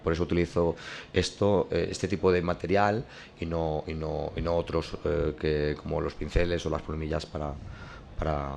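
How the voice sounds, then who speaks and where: Low gravelly man explaining his craft. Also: little pitch movement, normal speed, a little mellow, slight background noise. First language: not French. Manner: hesitant, many restarts and fillers. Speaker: comic artist. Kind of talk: explaining his drawing process.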